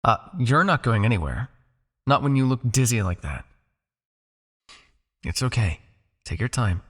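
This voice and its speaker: A man playing a possessive, teasing vampire captor in an intimate ASMR roleplay, coaxing the listener with a creaky voice. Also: medium pitch, animated, a bit bright. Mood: concerned, hesitant. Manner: coaxing, teasing, with pauses, sighs and murmured asides.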